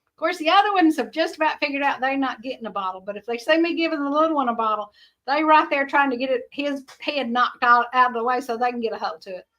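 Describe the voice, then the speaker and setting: A middle-aged woman with a high-pitched voice, rambling chattily to viewers about her homestead, often laughing. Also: quick pace, a bit bright, poor audio. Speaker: homestead vlogger. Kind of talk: casual chat to viewers.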